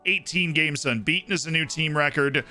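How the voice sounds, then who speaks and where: A man streaming a football management game, commenting excitedly on matches and chatting directly with his viewers. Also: fairly high pitch, moderate pace, over loud music. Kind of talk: casual live-stream commentary to chat.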